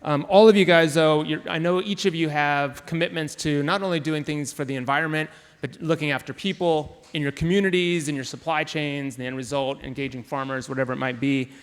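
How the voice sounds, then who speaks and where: Male panel moderator, upbeat, fairly high, hall reverb. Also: steady tone, fairly quick, clean tone. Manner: upbeat, enthusiastic.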